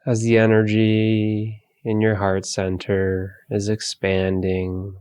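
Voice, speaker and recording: A man leading a guided meditation, giving calm, soothing, gentle instructions with long pauses between phrases. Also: on the low side, smooth, dry recording.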